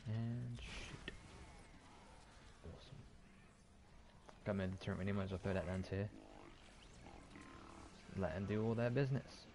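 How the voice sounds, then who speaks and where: A man's voice: on the low side, measured pace, long pauses, mellow. A man giving casual, sweary commentary on his gameplay, often muttering to himself over video game sounds. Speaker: gaming video host. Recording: clear background game noise.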